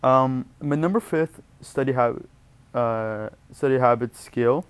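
A man's voice: mid-range voice, slow, clean tone. A young man whose first language is Portuguese, giving study tips in a casual, rambling vlog and often correcting himself mid-word. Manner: rambling, self-correcting, chatty. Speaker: student vlogger.

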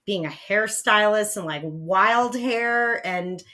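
A woman in her forties with a raspy voice, co-hosting a podcast in spunky, excitable casual chat. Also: mid-range voice, slow. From United States. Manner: spunky, excitable, lots of fillers. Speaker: podcast co-host.

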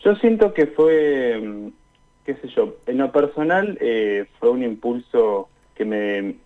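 A young man with an Argentine accent talks casually as an interview guest, often searching for words. His mid-pitched voice comes through a slightly muffled, lo-fi remote call. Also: big pitch swings, slow. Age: young adult. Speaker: radio interview guest, student. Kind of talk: casual conversational interview answers. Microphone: remote call to a radio show. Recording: dull, lo-fi call audio.

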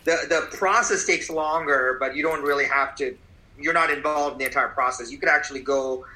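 Casual: ceo guy on a podcast, super punchy bright voice, pushes his points hard, repeats stuff for emphasis. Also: a little high, fairly quick, rough recording. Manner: emphatic, persuasive, repeats for effect. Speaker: guest, company CEO. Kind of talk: interview answers.

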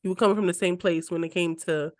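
A woman's voice: on the low side, steady tone, fast talker, dry recording, clean studio sound. A woman in her twenties co-hosting a podcast, chatting casually, teasing and cursing, often breaking into laughter. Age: 20s. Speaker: podcast co-host. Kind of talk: casual chat.

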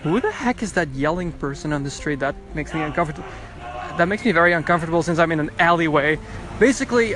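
A young man recording a casual audio diary on his phone while he waits outdoors at a bus stop, chatty and rambling with lots of restarts. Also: high-pitched. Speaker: podcast host.

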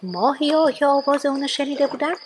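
A woman's voice: high-pitched, background noise, close to an everyday voice. A woman narrating a children's radio play as a scripted storyteller.

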